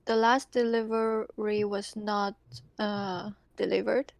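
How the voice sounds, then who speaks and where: A woman playing a tabletop game, chatting casually in a halting, questioning way. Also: mid-range voice, flat delivery, gravelly, no room sound.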